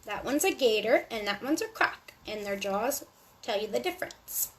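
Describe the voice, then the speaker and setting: A woman storyteller reading a rhyming picture book aloud to young children in an animated, sing-song way. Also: medium pitch, normal speed.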